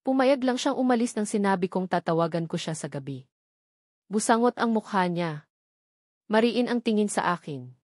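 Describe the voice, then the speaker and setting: A woman's voice: medium pitch, expressive, normal speed, a little mellow, dry recording, studio quality. A woman reading a first-person romance novel aloud as scripted narration. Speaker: story narrator.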